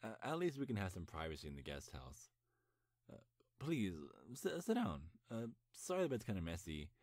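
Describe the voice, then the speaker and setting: A young man playing a nervous, lovestruck best friend in an intimate ASMR roleplay, speaking earnestly with a halting stammer. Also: lowish voice, huge pitch swings, a little mellow, no room sound, clean studio sound. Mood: nervous, flustered.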